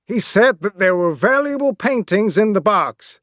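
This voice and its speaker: Stern ship captain with a high, strained bellow, voiced by a man. Storybook reading. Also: expressive, moderate pace, fairly bright tone, no room sound, clean studio sound. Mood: explaining. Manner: bellowing commands, then plain explaining. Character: stern male ship captain, shouting orders.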